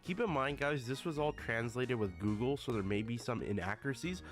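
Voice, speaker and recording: A man's voice: mid-range voice, fairly quick, faint music behind. Slightly sick male gaming YouTuber. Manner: addresses viewers often as guys. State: a little sick. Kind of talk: casual video commentary.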